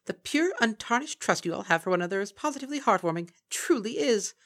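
A man's voice: high-pitched, animated, fast, a bit bright, voice pushed up. Sly cackling kelpie, as a man puts her on. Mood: mocking. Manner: taunting, lilting sing-song. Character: sly cackling female kelpie, mocking and theatrical.

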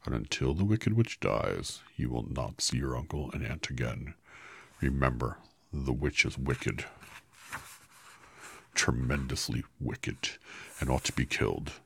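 A man voicing a stern, commanding wizard who appears as a giant head, speaking slowly and heavily in a deep, husky voice. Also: lively intonation, a bit bright, dry recording, heavily acted. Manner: slow, commanding, heavy.

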